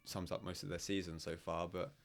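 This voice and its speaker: A man's voice: deep voice, little pitch movement, fairly quick, creaky. A man hosting a football podcast, chatty and leading the questions in casual talk. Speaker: podcast host. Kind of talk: casual sports chat.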